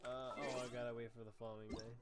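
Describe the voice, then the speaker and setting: A man's voice: medium pitch, little pitch movement, fast talker. A man reacting casually while playing a video game, as a streamer would. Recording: game music behind the voice.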